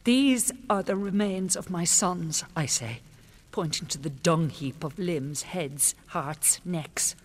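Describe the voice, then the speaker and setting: An actress playing a grieving, defiant queen of a fallen city, speaking in a bitter, measured and scornful way in first-person radio drama narration. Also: fairly low pitch, long reverb tail.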